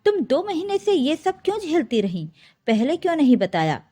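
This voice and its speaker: A woman's voice: high-pitched, sing-song, moderate pace, dark tone. A woman voicing a protective middle-aged mother, firm and reproachful, in a family story. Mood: concerned reproach. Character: protective middle-aged mother confronting her daughter's in-laws.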